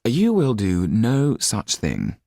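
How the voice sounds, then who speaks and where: A man voicing a composed, firm gentleman in an audiobook, speaking formally and with authority. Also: on the low side, huge pitch swings, takes it slowly, gravelly, no echo, clean studio sound, pitched above the performer's own voice, heavily acted. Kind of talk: acted audiobook dialogue.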